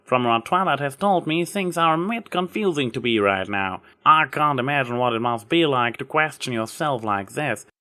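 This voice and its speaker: Man voicing a folksy farm-girl pony, raised pitch. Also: moderate pace, hardly any pauses, pushed and bright, close to an everyday voice. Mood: thoughtful, reassuring. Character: warm, earnest, protective farm-girl pony, plain-spoken country mare.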